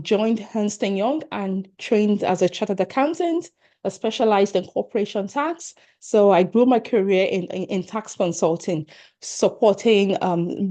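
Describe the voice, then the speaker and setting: Casting: middle-aged Nigerian-accented woman, leadership coach, mellow tone, earnest and encouraging, webinar over a laptop mic. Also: mid-range voice. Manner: earnest and encouraging, addressing attendees directly. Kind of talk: online workshop presentation.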